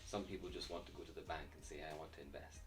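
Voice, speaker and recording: A man's voice: a bass voice, fairly even intonation, racing. A man talking as a guest in a casual chat, explaining his views confidently and with emphasis. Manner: confident, emphatic, some restarts. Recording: faint voice from far off the mic, light music.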